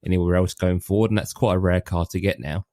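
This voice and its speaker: A man's voice: sits low, steady tone, quick pace, a little mellow, dry recording, clean studio sound. A man co-hosting a podcast, talking casually and rambling through a list with lots of ums. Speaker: podcast co-host. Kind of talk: casual chat.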